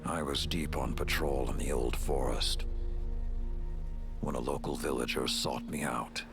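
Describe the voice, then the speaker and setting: A grizzled older warlord speaks in an extremely low, gravel-filled growl. He is stern and world-weary, measuring out each phrase slowly like a game-cutscene commander, with music behind him. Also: fairly even intonation, exaggerated voice. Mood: solemn storytelling. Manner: gruff, slow, weighty delivery. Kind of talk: video game cutscene acting.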